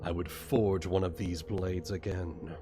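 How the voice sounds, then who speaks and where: Man voicing a low, solemn master swordsmith. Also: steady tone, music in the background. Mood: solemn, reverent. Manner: grave, ceremonious, slow. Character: solemn master smith forging a legendary blade.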